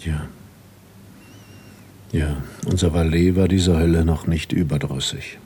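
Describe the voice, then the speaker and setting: An aging, devoted husband in a literary radio drama. His voice is deep and very breathy-rough. He speaks gravely and gently, weighing each phrase. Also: fairly even intonation, unhurried, exaggerated voice. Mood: gentle, sober. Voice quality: husky.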